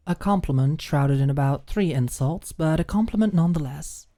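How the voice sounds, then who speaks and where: A woman reading a scripted fiction audiobook in an expressive, ASMR storytelling style. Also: deep voice. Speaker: audiobook narrator.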